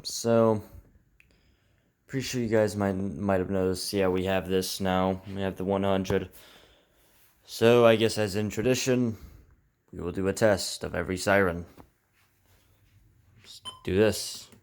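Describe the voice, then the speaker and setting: A man's voice: sits low, fairly even intonation, a little slow, pauses often. A man chatting casually and unscripted as he hosts a homemade video demonstrating gadgets. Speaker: video host demonstrating weather radios. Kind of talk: casual unscripted vlog chat. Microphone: headset. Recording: heavy background noise, clicking.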